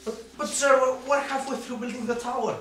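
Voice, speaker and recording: A young man playing an excitable, defiant underling in a stage play, agitated and protesting. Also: very high voice, brisk. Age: young adult. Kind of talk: amateur stage acting. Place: small theatre stage. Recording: very echoey theatre, distant camera, noisy.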